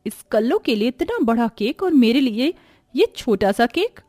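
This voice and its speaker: A woman's voice: fairly high pitch, very animated, normal speed, a little mellow, dry recording. A woman voicing a vain, haughty young woman in a cartoon story, taunting and boastful. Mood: jealous.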